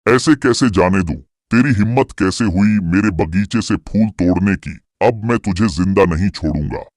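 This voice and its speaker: A man's voice: deep voice, moderate pace, darkish tone, no echo, clean studio sound. A man playing a dangerous, threatening ghost in a dramatized moral story. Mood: angry, threatening. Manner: threatening, commanding. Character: dangerous, menacing male ghost guarding his garden.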